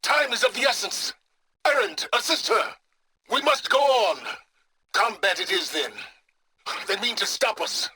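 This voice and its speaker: An actor playing a cold, calculating, aloof scholar in a video game, speaking formally with measured, condescending, sardonic phrasing. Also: male voice, extremely high pitch, expressive, bright, forceful tone, slight background noise, heavily acted. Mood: urgent combat shouting. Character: cold, calculating, aloof male scholar, middle-aged or older. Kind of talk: scripted video game voice acting.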